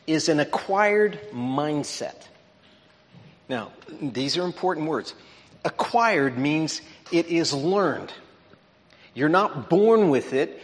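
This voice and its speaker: Man, preacher, higher voice, conversational, explaining step by step, long auditorium reverb. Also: fairly bright tone. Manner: conversational, explanatory, checks in with listeners. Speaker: preacher teaching a lesson. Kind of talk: sermon lesson. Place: large auditorium.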